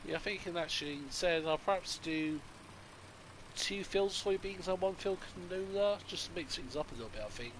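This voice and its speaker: A man's voice: sits high, fairly quick, a bit bright, slight background noise, low-quality recording. Congested man rambling over gameplay. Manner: rambling, thinking aloud while playing. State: congested from a cold. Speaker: gaming channel host. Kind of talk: casual gameplay commentary.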